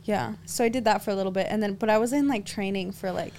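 A woman's voice: medium pitch, fast, vocal fry, a little mellow, slight background noise. A young woman from Los Angeles, a podcast guest telling stories in casual chat, full of "like" fillers. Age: young adult. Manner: storytelling, frequent "like" fillers, laughs often.

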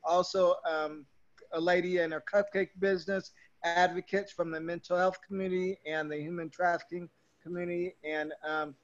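A man's voice: high voice, flat delivery, moderate pace. A man from the Bay Area, a podcast guest, earnestly describing his show. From San Francisco Bay Area. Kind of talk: casual chat. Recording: slightly muffled mic.

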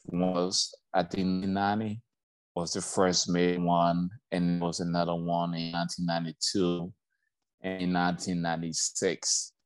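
A man's voice: low voice, little pitch movement, normal speed, clear, resonant tone, no room sound, rough recording. A man in his forties from Liberia, reflecting on his life as a guest in a casual interview, with lots of "like" and "you know".

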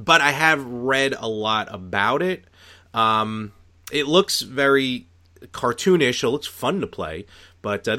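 Man, bright clear-cut voice, medium pitch, casual podcast co-host, rambling unscripted chat.

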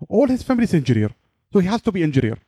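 Podcast host with a dark, mellow voice. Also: male voice, sits high, sing-song, rapid-fire, breathy edge, no echo, clean studio sound. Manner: non-native English, loose grammar. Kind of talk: casual interview.

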